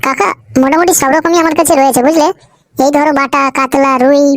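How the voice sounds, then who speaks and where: High-pitched cheeky young village lad acted by a woman. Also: steady tone, fast talker, dry recording, clean studio sound, close to an everyday voice. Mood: salesman-like. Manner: chatty, brash. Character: cheeky, foul-mouthed young village nephew, eager small-time trader. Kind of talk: comic cartoon dubbing.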